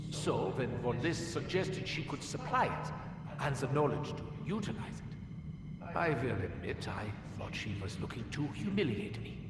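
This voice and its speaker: An actor playing a pompous, menacing Nazi scientist officer with a German accent and a grandiose, mock-polite delivery in a video game audio log. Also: male voice, medium pitch, recorded from a distance. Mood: brooding, fervent. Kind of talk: scripted dramatic audio log acting. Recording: echoey long-reverb hall, loud background noise.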